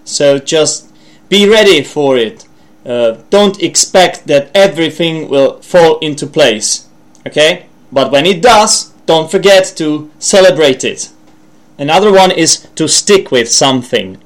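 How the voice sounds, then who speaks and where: A man's voice: a little high, lively intonation, measured pace. A Czech man with a Czech accent gives an informal English lesson as a podcast host, rambling, correcting himself and checking in with the listener. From Czech Republic. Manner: rambling, self-correcting, with frequent asides and check-in questions. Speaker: language podcast host and teacher.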